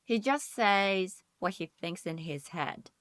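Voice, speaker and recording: Expressive woman in dry, casual podcast chat. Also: mid-range voice, slow, dry recording. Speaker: podcast co-host.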